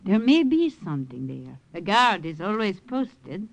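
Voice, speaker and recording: An actress playing an older widow in a 1940s radio drama, gentle and motherly, speaking English. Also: medium pitch, sing-song, unhurried, gravelly, dull audio. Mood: warm, earnest. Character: older French widow, kindly and protective.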